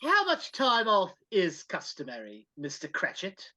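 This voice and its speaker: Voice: medium pitch, wildly swooping pitch, unhurried, sharp tone, exaggerated voice. A performer reading a stern, miserly businessman with a curt, scornful manner in a live dramatic reading over a video call. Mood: grudging. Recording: dull lo-fi video-call audio.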